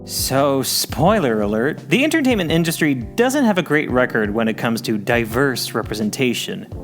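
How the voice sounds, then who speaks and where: A male host narrating a scripted episode in a reflective, measured tone. His pitch moves freely through his sentences, and faint music plays beneath him. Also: big pitch swings.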